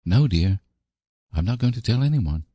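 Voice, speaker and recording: A man voicing a patient, kindly young woman in a storybook, gently reasoning and soothing as if to a child. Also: on the low side, expressive, normal speed, breathy edge, no room sound, pitched above the performer's own voice. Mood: soothing, firm. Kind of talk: storybook character voice.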